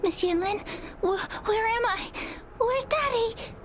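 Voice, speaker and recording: A woman's voice: extremely high pitch, takes it slowly, a bit bright, faint music behind, full character voice. A woman voicing a timid, sweet little girl in a game, with a small childlike voice and hesitant, pleading manner. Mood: confused, tearful.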